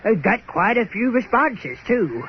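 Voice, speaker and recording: A seedy, peg-legged scow captain for a comic radio play. His high voice swoops around as he hems and haws in folksy, sheepish fashion. The sound is dull and lo-fi. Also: big pitch swings, measured pace, slight background noise. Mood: apologetic, lonely. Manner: stammering, hemming and hawing, folksy. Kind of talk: comic audio drama acting in old-time radio manner.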